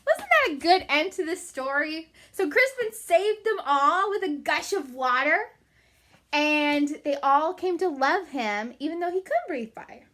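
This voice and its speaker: A woman storyteller with a high, bright voice. She addresses young listeners in an animated, emphatic read-aloud style with chatty asides. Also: measured pace, slight background noise. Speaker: storyteller reading to children.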